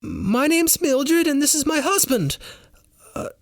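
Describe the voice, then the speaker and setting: A man's voice: very high voice, expressive, takes it slowly, dry recording, clean studio sound, pitched above the performer's own voice, heavily acted. A man voicing a nervous woman who bluffs in a muddled, fake foreign accent, stammering and overeager, in an audiobook. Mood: flustered bluffing. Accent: fake, muddled, untraceable foreign. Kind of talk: first-person audiobook dialogue.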